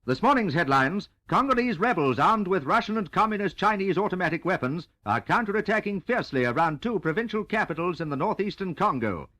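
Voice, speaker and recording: A man's voice: sits high, expressive, fairly quick, hardly any pauses, pushed and bright. An Australian man reading a scripted 1960s radio news bulletin with dramatic, emphatic delivery, as on a vintage radio recording. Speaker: radio newsreader.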